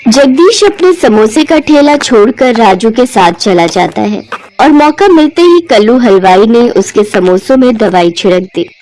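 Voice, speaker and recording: A woman's voice: fairly high pitch, unhurried, poor audio. Woman narrating a storybook cartoon. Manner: clear, steady storytelling. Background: soft background music.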